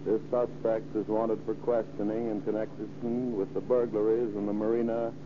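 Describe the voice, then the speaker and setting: An actor playing a terse, official police dispatcher reading a bulletin in a clipped way for 1930s radio. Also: male voice, on the low side, level tone, normal speed, natural voice. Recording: muffled, noisy 1930s radio recording.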